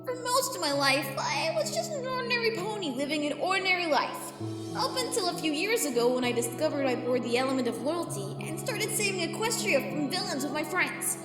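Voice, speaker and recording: Very high-voiced, earnest young cartoon pony. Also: female voice, fairly quick. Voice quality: high-pitched, youthful. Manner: excitable, earnest, openly emotional. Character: emotional young female cartoon pony hero, brave and loyal. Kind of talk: fan audio drama acting. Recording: roomy reverb under loud music.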